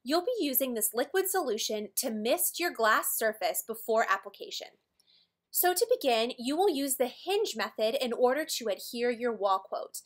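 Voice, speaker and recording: A woman with a high-pitched voice narrates an instructional video. She presents from a script, walking through each step plainly and in careful order. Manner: clear step-by-step explaining. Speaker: tutorial presenter for a decor company.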